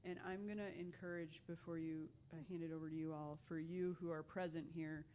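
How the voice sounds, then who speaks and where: Low, flat-voiced woman moderator. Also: slight background noise. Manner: measured, inviting questions. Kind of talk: panel moderation.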